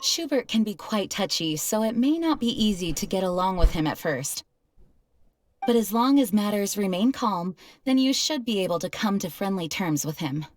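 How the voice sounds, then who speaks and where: An actress playing a composed, courteous female knight commander in a video game, speaking in a measured, diplomatic way. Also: mid-range voice, animated, slight background noise, close to an everyday voice. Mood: courteous, reassuring. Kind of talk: video game character voice acting.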